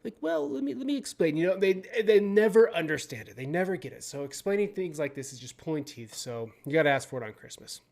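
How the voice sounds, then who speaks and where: A young adult man hosting his own channel, reading news out loud in a casual way, often stumbling over words, swearing and joking. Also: fairly high pitch, animated, fast, creaky, hardly any pauses. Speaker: video host.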